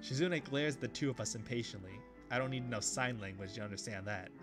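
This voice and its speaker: A man reading a visual novel aloud, with relaxed commentary and casual asides. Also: medium pitch, fast, music in the background. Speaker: video game let's player.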